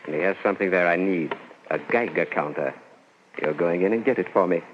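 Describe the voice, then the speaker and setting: A menacing male physicist of towering ego , played by a man in classic radio drama style. He speaks in a low, commanding voice with cool arrogance. The old recording is narrow and faintly noisy. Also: brisk, lightly acted. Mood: calm, imperious. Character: menacing, domineering, megalomaniac male nuclear physicist. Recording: noisy, band-limited vintage radio recording.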